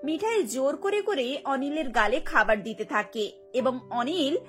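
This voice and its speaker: A woman's voice: high-pitched, expressive, measured pace. A woman reading scripted narration for a cartoon story. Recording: music bed behind the voice.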